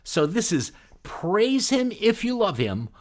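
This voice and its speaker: A man's voice: high-pitched, sing-song, takes it slowly. A man hosting a music show in casual, rambling, hesitant chat, introducing songs.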